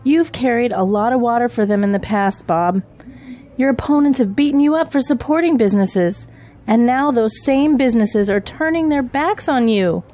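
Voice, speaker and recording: A woman's voice: a little high, normal speed, mellow, lightly acted. A woman playing a loyal, frank political campaign aide in an audio drama, earnest and sympathetic. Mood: indignant.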